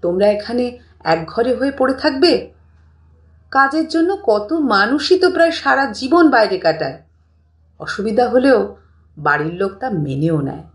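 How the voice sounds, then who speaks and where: A woman's voice: fairly high pitch, lively intonation, measured pace, long pauses. A woman voicing a dutiful, earnest young man, a devoted grandson in an audio story. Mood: worried. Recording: roomy, distant mic, music bed.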